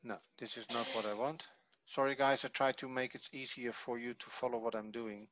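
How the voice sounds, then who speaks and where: A man giving an online language lesson, reading from a textbook and explaining it in a halting, explanatory way. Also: mid-range voice, steady tone, a bit bright, muffled recording. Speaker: Hebrew teacher. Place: online video call.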